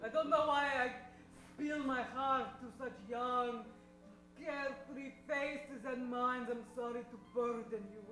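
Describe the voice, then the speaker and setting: A woman's voice: high-pitched, steady tone, measured pace, a bit bright. A woman playing a distressed, helpless lady in old-time radio melodrama, plaintive and theatrical. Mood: plaintive, grateful. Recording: very echoey room, music behind.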